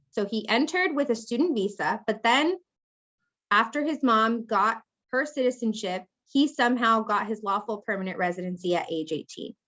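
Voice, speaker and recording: A woman's voice: fairly high pitch, muffled recording. A woman law professor giving a conversational classroom lecture, acting out example speakers as she explains. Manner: conversational, acts out hypothetical speakers.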